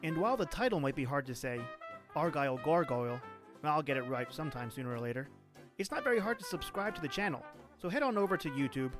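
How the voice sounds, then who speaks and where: Male ad reader, playful and self-mocking, animated. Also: on the high side, quick pace, music in the background. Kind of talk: comic podcast ad read.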